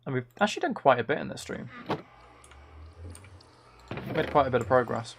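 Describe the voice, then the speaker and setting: A man's voice: medium pitch, animated, normal speed, vocal fry. A man chatting casually on a gaming livestream in a playful, rambling way. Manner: playful, rambling, trying out game sound impressions. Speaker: livestreamer, author. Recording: faint noise with key clicks. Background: computer keyboard typing and clicking.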